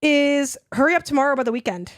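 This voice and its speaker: A young woman with a hoarse voice talks through her picks in a loose, rambling way, leaning on "like" again and again. Her voice sits a little high, and the recording is close and dry with no room sound. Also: lively intonation, a little fast, dry recording. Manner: rambling, heavy use of "like". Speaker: podcast co-host. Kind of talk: casual chat.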